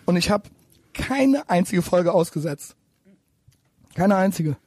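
Brash podcast host, male, high and husky, dry close sound. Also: expressive, dark tone, no room sound. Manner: fast, cocky, mixes English phrases. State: hoarse. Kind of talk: casual podcast banter.

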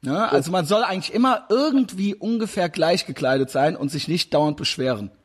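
Husky chatty man in his forties. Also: fairly high pitch, lively intonation, fairly quick. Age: 40s. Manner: chatty, rambling with many fillers. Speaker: interviewer. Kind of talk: casual interview over video call.

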